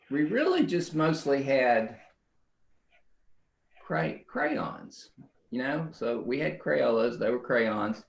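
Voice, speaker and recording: A man's voice: mid-range voice, vocal fry, poor audio. A middle-aged man giving a casual, rambling drawing demonstration for children, often checking in with the viewer.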